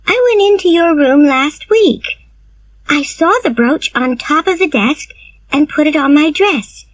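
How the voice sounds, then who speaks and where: A woman voicing an eager young orphan girl who talks in a high, earnest, pleading way. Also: expressive, measured pace, far from the mic.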